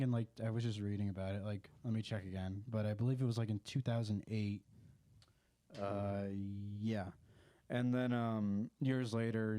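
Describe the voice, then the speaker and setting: A man's voice: fairly low pitch, warm tone. A man in loose casual podcast chat, talking flippantly and swearing freely. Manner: flippant, profane. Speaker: podcast host.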